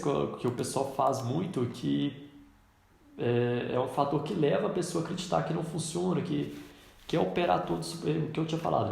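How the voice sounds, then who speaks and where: A man's voice: a little high, gravelly, dark tone, very echoey. A man with a cold, teaching a trading lesson in a casual way while he points things out on a chart. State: congested with a cold. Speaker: trading instructor. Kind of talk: screen-recorded trading lesson.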